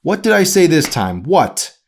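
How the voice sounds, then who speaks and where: A man's voice: fairly high pitch, very animated, unhurried, gravelly, breathy edge, pitched above the performer's own voice, heavily acted. A man voicing an anxious, critical mother in an audiobook, fretful and prying in manner. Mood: hurt, insistent. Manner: fretful, prying, over-earnest. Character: Amy, anxious, critical, prodding mother of a teenage girl.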